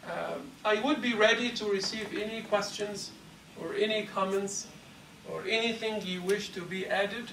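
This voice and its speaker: A man's voice: high voice, steady tone, bright, forceful tone. Man teaching a class in an echoey hall. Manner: measured and explanatory, taking questions from the class. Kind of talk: mosque class lecture. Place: large carpeted mosque hall. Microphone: lapel mic, filmed by a far camera. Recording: echoey hall from a distance, faint hiss.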